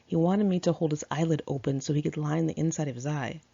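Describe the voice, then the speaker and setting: A woman reading her own memoir aloud in a candid, expressive, conversational storytelling style. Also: low voice, fast, vocal fry, husky, highs cut off. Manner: candid, expressive, acts out dialogue.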